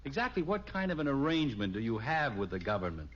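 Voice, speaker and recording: A man's voice: mid-range voice, sing-song, fast talker, fairly bright tone. An actor playing a wry middle-aged American father in a radio sitcom, with dry, teasing delivery. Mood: amused, intrigued. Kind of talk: 1950s radio sitcom acting. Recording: muffled old radio recording, noisy audience.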